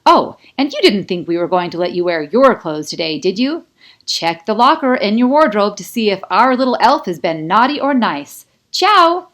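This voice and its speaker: Showy, catty reality TV announcer, played by a woman narrator: high, loud, theatrical, gleefully teasing sing-song. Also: big pitch swings, normal speed. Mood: mischievous, teasing.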